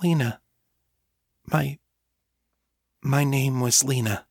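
A man voicing a timid, submissive half-elf servant woman in an audiobook, hesitant and pleading in a soft voice. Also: fairly high pitch, unhurried, vocal fry, no echo, studio quality, voice pushed up, heavily acted. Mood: timid, uneasy. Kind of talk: audiobook character acting.

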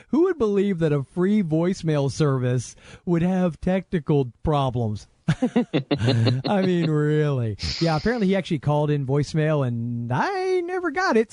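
A man's voice: fairly high pitch, darkish tone, no echo. A man co-hosting a podcast, chatting casually and jokily as he reads listener feedback. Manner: jokey, self-interrupting, quick to laugh. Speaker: podcast co-host.

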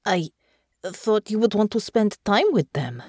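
A woman voicing a blunt but caring foreign woman, speaking deliberately and dropping small words. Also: mid-range voice, big pitch swings, a little mellow, slightly rough, no room sound, studio quality, pitched above the performer's own voice. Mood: confused. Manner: slow, deliberate, dropping articles.

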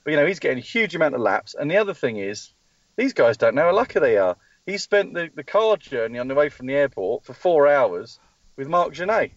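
A man's voice: a little high, very animated, a little fast, dry recording, dull audio. A man speaking as a motorsport team spokesperson in a live call-in interview, rambling with many ums and restarts, calling in from a race track. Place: at a race track testing session.

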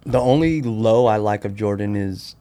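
A man's voice: fairly low pitch, moderate pace, dark tone. An American man, a guest on a podcast, chatting in a laid-back, conversational way. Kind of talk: casual chat about sneakers.

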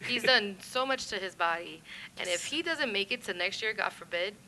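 A woman's voice: medium pitch, lively intonation, moderate pace, pushed and bright, poor audio. A woman on a casual podcast panel, chiming in with dry, teasing remarks. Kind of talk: casual chat, interjections.

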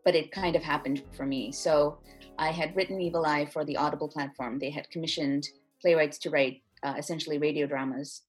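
A woman with a husky voice talking casually and enthusiastically as an interview guest, a writer explaining her work. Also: sits low, steady tone, fairly quick, soft background music, poor audio. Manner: enthusiastic, effusive. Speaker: playwright and screenwriter, interview guest.

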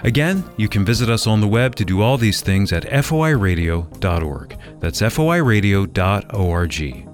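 An American man reading scripted radio sign-off announcements in a polished, clearly enunciated way. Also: fairly low pitch, animated, moderate pace, few pauses, faint music behind. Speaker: radio announcer and executive producer.

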